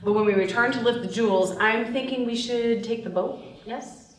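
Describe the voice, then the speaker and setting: A woman's voice: fairly high pitch, moderate pace, lightly acted. Woman acting a bossy heist leader, distant mic. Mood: businesslike. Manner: commanding, irritable. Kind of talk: screenplay table read. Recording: roomy, distant mic, faint noise.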